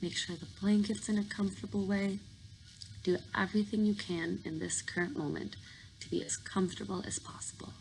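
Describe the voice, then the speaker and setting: A woman leading a guided sleep meditation in a soothing, informal, reassuring manner, with a breathy voice that sometimes drops toward a whisper. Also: medium pitch, steady tone, brisk, darkish tone, rough recording. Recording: clear background noise.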